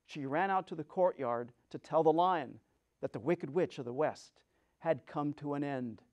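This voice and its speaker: A male storyteller with a high-pitched voice reads a storybook aloud. His delivery is dramatic and theatrical, with expressive emphasis on the words. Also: normal speed, muffled recording.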